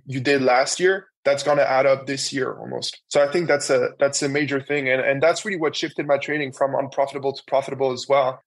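A young man who grew up in France and Germany, answering interview questions in a halting way with many fillers. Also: medium pitch, gravelly. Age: young adult. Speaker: trader guest.

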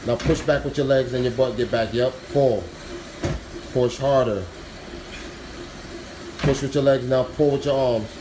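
A man's voice: mid-range voice, fairly even intonation, unhurried, pauses often, background noise. A man coaching people through a machine workout in a small home gym, calling out short repeated commands in casual slang, recorded on a handheld phone. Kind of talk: coaching commands during exercise. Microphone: handheld phone camera.

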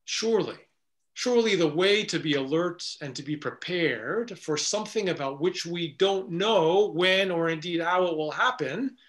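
A middle-aged man, a pastor, preaching an earnest, measured sermon indoors before a stone wall. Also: a little high, fairly bright tone.

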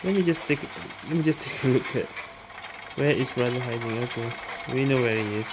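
Very low-voiced woman gamer. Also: fairly quick, noisy background. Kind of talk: casual gameplay reaction commentary. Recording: voice-over with game music behind.

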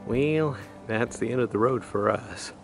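A man's voice: medium pitch, measured pace, creaky, over music. A middle-aged man chatting casually as he films a walk along outdoor trails, explaining the sights as he goes. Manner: relaxed, chatty, explains what he sees. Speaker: vlog co-host. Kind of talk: casual travel vlog narration. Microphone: handheld camera mic. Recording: outdoor camera audio with wind noise.

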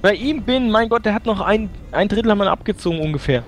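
A young man with a high, very lively voice gets worked up as he plays a game, now muttering, now yelling and swearing. Game music plays clearly beneath him. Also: fast. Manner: excitable, swings from mumbling to yelling, swears. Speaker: let's play host. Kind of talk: casual co-op gaming chat.

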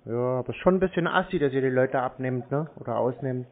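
A man's voice: mid-range voice, brisk. A man in casual phone conversation, needling the other person and playing ignorant. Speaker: prank-taker of scam calls. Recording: muffled, noisy phone recording.

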